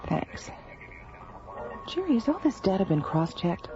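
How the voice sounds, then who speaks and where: Businesslike space scientist. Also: female voice, mid-range voice, very animated, measured pace, over music. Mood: serious, concerned. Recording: dull vintage radio recording.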